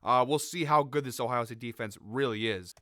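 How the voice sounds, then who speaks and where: A man hosting a sports betting show, chatting casually through his picks while sick, with a raspy, nasal voice. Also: mid-range voice, fairly quick, pushed and bright, dry recording, clean studio sound. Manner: conversational, hedging, frequent fillers. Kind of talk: casual picks commentary to camera.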